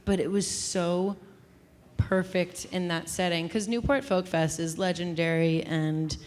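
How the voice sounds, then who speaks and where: A woman host with a lowish, fairly flat-toned speaking voice. She asks thoughtful, admiring questions and keeps the talk moving. The conversation was recorded at a live music venue. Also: measured pace. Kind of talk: interview host chat.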